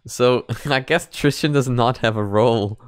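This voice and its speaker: A man's voice: mid-range voice, normal speed, smooth, no echo. A man in his twenties chatting casually as a reaction channel host, repeating himself for emphasis, close to a microphone at home. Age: 20s. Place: small home room, indoors. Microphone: microphone on boom arm, close.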